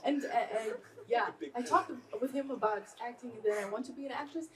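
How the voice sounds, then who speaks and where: A fairly high-voiced woman from Germany talks on a festival panel stage, upbeat and quick to laugh. She stops and restarts her sentences. The mic is set back from her, and a crowd murmurs behind. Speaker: actress and stunt woman on a panel. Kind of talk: casual panel talk. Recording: distant mic with audience noise.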